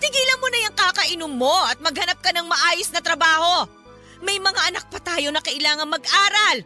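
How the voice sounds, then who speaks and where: A defiant Filipino wife with a very high, piercing voice. She scolds with heated, emphatic radio-melodrama emotion, over a music bed. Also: animated, moderate pace, pushed and bright, exaggerated voice. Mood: angry, reproachful. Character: fed-up, defiant wife and mother berating drunken husband.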